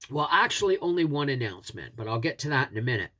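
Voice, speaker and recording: A woman's voice: rumbling low, big pitch swings, quick pace. Chatty woman with a head cold. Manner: earnest, chatty, rambling. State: head cold, scratchy throat. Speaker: homesteading channel host. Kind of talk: casual talk to camera.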